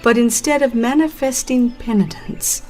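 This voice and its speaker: A woman reading a dramatized religious audiobook as a narrator, in a solemn, measured and expressive storytelling manner. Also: a little high, soft background music.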